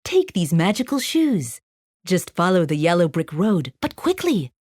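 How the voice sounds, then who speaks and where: A gentle fairy guide from a children's tale, voiced by a woman reader. She is kindly and musical, with a widely moving melody in a dry recording. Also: lowish voice, very animated, measured pace, no room sound, pitched below the performer's own voice. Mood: urging.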